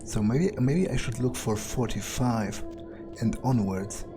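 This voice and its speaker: A man role-playing a wary, foul-mouthed vampire in a game, thinking aloud in a hesitant, muttering monologue with pauses and swearing. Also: medium pitch, expressive, music in the background, lightly acted. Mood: puzzled, musing. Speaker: gameplay video creator role-playing his character. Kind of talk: in-character improvised gameplay monologue, thinking aloud.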